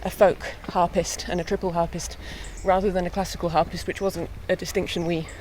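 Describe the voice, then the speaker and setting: A young woman, a harpist, chatting in a relaxed way with light laughs while out walking on a hillside. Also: on the low side, fairly quick, low-quality recording. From born in England, raised in mid Wales. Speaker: harpist and guest. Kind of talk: casual walking interview. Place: outdoors on a hillside walk. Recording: faint outdoor noise.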